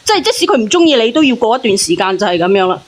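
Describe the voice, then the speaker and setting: A woman with a high voice talks quickly and insistently on a call-in advice panel. She repeats her points for emphasis in a casual, heated chat. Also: very animated.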